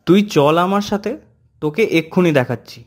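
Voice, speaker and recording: A man voicing and narrating as a young man returning to his village in a horror cartoon, swinging between eager and hushed tones. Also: a little high, animated, brisk, close to an everyday voice. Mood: insistent. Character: young man Ajit, returning home, anxious.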